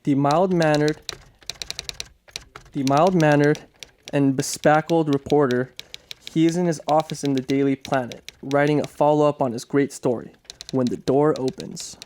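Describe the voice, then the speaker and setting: A man's voice: on the high side, steady tone, normal speed, a little mellow, slight background noise. A man reading dramatic scripted narration for a radio play, now and then stumbling over a word. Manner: stumbles and repeats words while reading.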